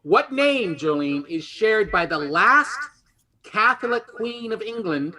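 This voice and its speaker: A man with a high, bright voice hosts a quiz show. He reads questions to the players and trades playful, teasing banter with them. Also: sing-song, slow, rough recording. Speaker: game show host.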